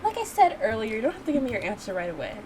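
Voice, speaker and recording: A woman voicing a serious male mafia boss from a game, speaking in a measured, formal, earnest way. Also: on the high side, huge pitch swings, darkish tone, slight background noise. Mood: firm, measured. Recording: music behind the voice.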